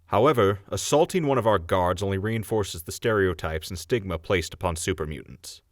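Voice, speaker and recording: A man's voice: low-pitched, expressive, normal speed, no echo, clean studio sound. A man voicing a stern town judge who speaks formally and scolds the defendants.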